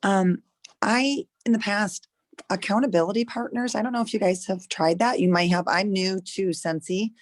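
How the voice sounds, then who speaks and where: Hoarse-voiced woman chatting on a call. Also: mid-range voice, moderate pace, no echo. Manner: chatty, rambling, full of restarts. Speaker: new sales consultant on a call. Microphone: video call.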